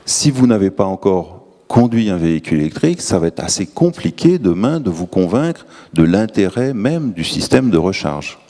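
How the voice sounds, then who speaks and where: A man's voice: low-pitched, big pitch swings, normal speed, few pauses, dark tone. A man from Tours giving hesitant panel remarks as an energy syndicate official, with many fillers and restarts, in a large conference hall. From Tours, France. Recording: long hall reverb.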